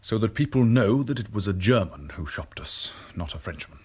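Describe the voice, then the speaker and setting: A stubborn, embittered former British agent in a naturalistic radio drama. His voice is fairly low and rough-edged with huskiness, and he talks bluntly and tersely, accusing and holding firm to his principles. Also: animated, moderate pace, darkish tone. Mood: bitter, accusing.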